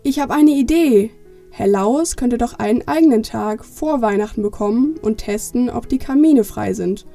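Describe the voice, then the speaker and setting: A woman's voice: fairly high pitch, moderate pace, creaky, mellow, faint music behind. A woman playing a confident six-year-old girl in a children's Christmas audio play, eager and matter-of-fact.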